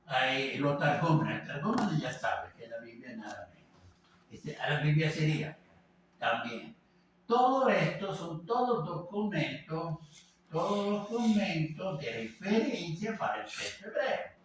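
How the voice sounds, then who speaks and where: A man teaching an informal class, talking haltingly with many fillers and tag questions. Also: a little high, expressive. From Venezuela. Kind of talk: informal lecture. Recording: echoey room, voice from a distance, faint background noise.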